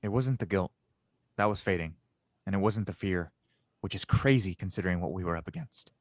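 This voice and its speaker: Resolute young hero voiced by a man, lowish pitch, close dry sound, earnest, sci-fi audio drama. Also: brisk, dry recording. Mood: reflective. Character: determined, earnest young male hero engineer. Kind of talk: audio drama table read.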